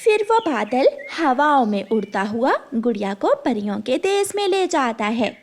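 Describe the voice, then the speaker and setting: A woman narrating a children's cartoon story in a gentle storybook manner. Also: high-pitched, big pitch swings, unhurried. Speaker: narrator and voice of all figures.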